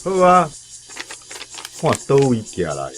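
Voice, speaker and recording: A man with a Taiwanese accent playing an obliging village letter writer in a radio drama, patient and measured. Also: mid-range voice, huge pitch swings, fairly bright tone, slight background noise, full character voice.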